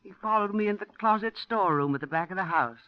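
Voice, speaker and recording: An actress playing an older landlady who narrates and scolds in a brisk, old-fashioned way, in 1940s radio acting on a lo-fi old broadcast. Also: medium pitch, no room sound, close to an everyday voice. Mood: narrating.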